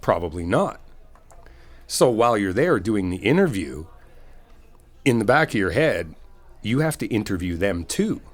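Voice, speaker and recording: A man's voice: on the low side, big pitch swings, a little slow, long pauses. A man playing a supportive, laid-back best friend in a casual comfort roleplay, talking in a reassuring, rambling, informal way. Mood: reassuring, encouraging. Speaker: ASMR roleplay performer.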